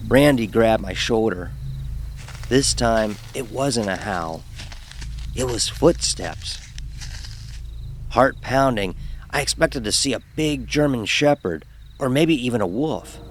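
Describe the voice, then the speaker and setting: A man narrating a first-person horror story in a dramatic, wry manner. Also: medium pitch.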